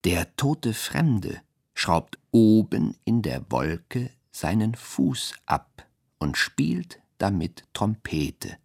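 A strange male voice of dreamlike radio poetry. He speaks extremely slowly and haltingly, swooping in pitch, with a husky, breathy tone. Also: very animated, full character voice. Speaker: radio drama performer. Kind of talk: literary radio play recitation, surreal poetic prose.

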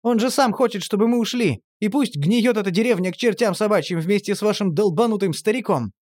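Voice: mid-range voice, fairly quick, a little mellow, voice pushed up, close to an everyday voice. A storyteller voicing a frightened young city man who keeps exclaiming in protest. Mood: agitated. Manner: agitated, exclaiming.

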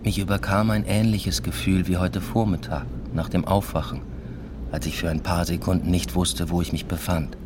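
A man playing an introspective, uneasy academic who narrates his own story in a measured, subdued radio drama style. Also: deep voice, fairly even intonation, background noise, close to an everyday voice. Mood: brooding, reflective. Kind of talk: literary first-person radio drama narration.

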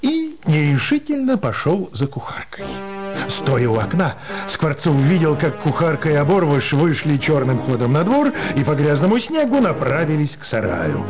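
A male storyteller narrates a literary radio play in a slightly high voice. His intonation is very animated and expressive, with music playing behind him. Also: normal speed.

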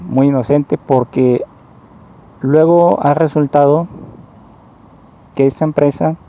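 Slow, halting man with a very dark voice. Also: mid-range voice, slight background noise, poor audio. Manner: slow, halting, rephrases often; earnest and explanatory. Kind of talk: spoken monologue, personal complaint.

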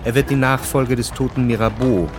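A man's voice: medium pitch, fairly even intonation, fairly quick, music in the background. A man giving a scripted narration for a historical radio drama.

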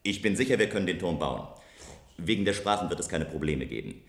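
An actor playing a self-assured visionary engineer in a German science fiction radio play, speaking in measured, persuasive statements. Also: male voice, deep voice, animated. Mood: confident, persuasive. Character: self-assured visionary male engineer proposing a bold tower. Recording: roomy, echoing studio radio play sound.

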